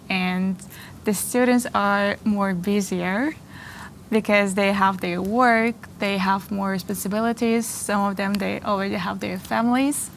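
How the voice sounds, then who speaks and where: A young woman in her twenties from Kazakhstan whose first language is Kazakh, answering interview questions in a measured, slightly formal way in a studio. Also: mid-range voice, slight background noise. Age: 20s. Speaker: interview guest, master's student. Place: small studio. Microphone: lapel.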